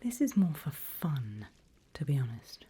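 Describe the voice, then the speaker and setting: A woman plays a seasoned female demon guiding a new recruit in an ASMR roleplay. Her voice is a very breathy whisper, low and soft-edged. She speaks with unhurried, dry wit and encouragement. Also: sing-song, exaggerated voice. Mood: softly sinister. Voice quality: breathy, mostly unvoiced whisper. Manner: slow, deliberate, dryly humorous.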